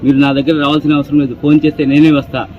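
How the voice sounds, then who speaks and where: A man's voice: on the high side, level tone, rapid-fire. A man with an Andhra Telugu accent gives an earnest campaign statement as a political candidate, outdoors on a town street in a muffled, noisy recording.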